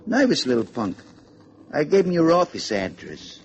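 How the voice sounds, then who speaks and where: A streetwise short-order cook, grumbles and teases in a fairly high voice with a very animated pitch. It is old radio drama, with a dull, slightly noisy sound. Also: male voice, normal speed, breathy edge, heavily acted. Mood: sardonic. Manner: sardonic, streetwise banter. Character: gruff, teasing male lunch-wagon cook, streetwise. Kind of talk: 1950s American radio acting.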